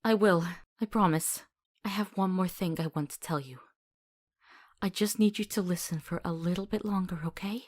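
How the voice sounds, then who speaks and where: A woman's voice: lowish voice, normal speed, natural voice. A woman playing a compassionate, earnest and stubborn companion to a monster hunter in a visual novel. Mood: tender, reassuring.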